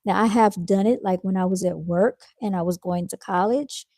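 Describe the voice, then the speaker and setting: A woman with a soft-spoken, soothing voice who talks in a calm, unhurried way, hosting a casual live chat with her viewers. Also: medium pitch, dry recording, clean studio sound. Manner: calm and unhurried, with fillers and restarts. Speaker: live-stream host reading viewer comments.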